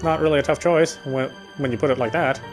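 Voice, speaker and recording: Male game player as narrator: rapid read-aloud delivery with occasional stumbles, music underneath. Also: on the high side, fairly even intonation. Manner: stumbles and rereads phrases. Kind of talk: reading visual novel narration aloud.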